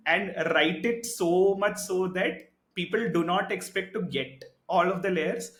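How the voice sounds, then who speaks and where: A man co-hosting a podcast, talking in a casual, animated, argumentative way and often tagging his points with "right?". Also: high voice, unhurried. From India. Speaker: podcast co-host. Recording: echoey room.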